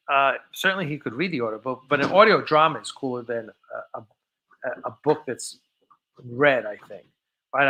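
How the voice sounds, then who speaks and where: Bright, forceful-voiced man hosting a livestream. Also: on the high side. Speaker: host of a fan film livestream. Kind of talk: casual live chat, answering viewer questions.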